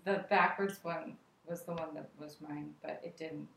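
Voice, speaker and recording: A woman with a lower voice rambles through a story, full of likes and ums. The sound is casual and echoes off the room. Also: fairly even intonation, moderate pace, creaky, slight background noise. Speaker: podcast co-host. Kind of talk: casual chat. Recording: roomy echo.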